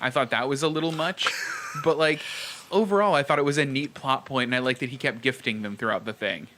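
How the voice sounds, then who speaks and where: A man co-hosting a podcast, chatting casually about films in an animated, slangy, exclamatory way. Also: mid-range voice, brisk. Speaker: podcast co-host.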